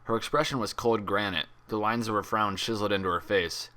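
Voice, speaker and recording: Guy narrating a fanfic audiobook style, lowish voice but really bright and crisp edged. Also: steady tone, a little fast. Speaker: fan fiction reader and narrator. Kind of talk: dramatic scripted story narration.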